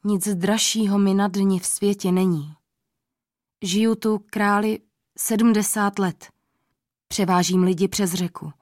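Humble blind old man read by a woman, quiet, slow, low voice, earnest, dry. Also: fairly even intonation, dry recording, close to an everyday voice. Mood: solemn. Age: 70s. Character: blind old male ferryman, humble and wise.

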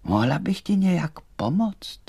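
A man voicing a small, gentle female poppy doll, worried but kind, in a storybook reading with tender, drawn-out words. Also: sits high, very animated, gravelly, breathy edge, no echo, clean studio sound, voice pushed up, full character voice. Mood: curious, kind.

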